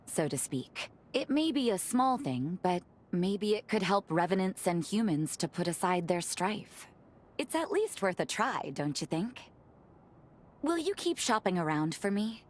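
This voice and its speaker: A woman voicing a gentle young vampire in a video game cutscene, speaking politely and thoughtfully with formal wording and soft teasing. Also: lowish voice. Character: gentle revenant woman, a vampire who loves cooking.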